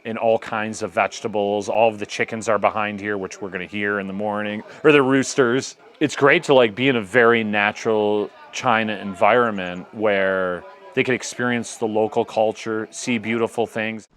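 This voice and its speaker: A man's voice: fairly low pitch, fairly even intonation, normal speed, hardly any pauses, fairly bright tone. An American man giving casual, chatty travel-vlog narration while out on a forest hiking trail, easygoing and full of fillers. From United States. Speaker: vlogger guide. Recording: music playing under the voice.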